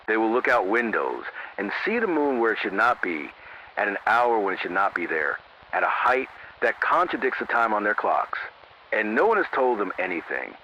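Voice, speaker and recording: A man performs a grave male emergency announcer on late-night AM radio in an analog horror drama. His delivery is formal and measured, yet bright and forceful with lively swings in pitch. It comes through a narrow, dull radio band with light static. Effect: AM radio filter.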